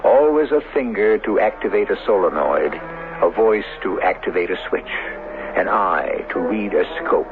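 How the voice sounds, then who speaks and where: Muffled old radio, deep brooding male storyteller, measured, portentous, swooping delivery. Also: lively intonation, vocal fry, music in the background. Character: brooding storyteller of a space colony tale. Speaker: narrator. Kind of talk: dramatic radio drama narration.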